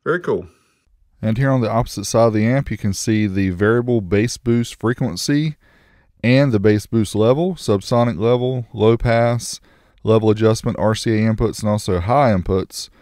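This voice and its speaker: A man's voice: on the low side, moderate pace, darkish tone, no room sound, studio quality. A man reviewing car audio gear, narrating a test in a matter-of-fact, casual, technical way.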